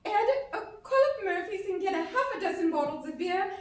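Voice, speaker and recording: In a very echoey hall, a woman acts out a dithering, cheerful middle-aged mother in a radio comedy. Her voice is very high and fluttery, set back from the mic. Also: expressive, fairly quick, recorded from a distance. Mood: flustered. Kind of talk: live stage radio play acting.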